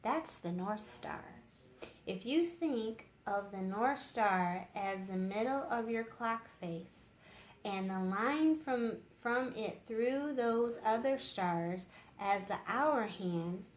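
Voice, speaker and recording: A woman's voice: mid-range voice, takes it slowly, close to an everyday voice. A woman voicing a polite, brainy young man who patiently explains things in a storybook. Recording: roomy echo with faint noise.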